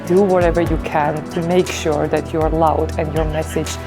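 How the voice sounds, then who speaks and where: Low-voiced woman activist over clear music. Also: little pitch movement, moderate pace. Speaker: activist participant. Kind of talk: interview testimonial. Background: background music.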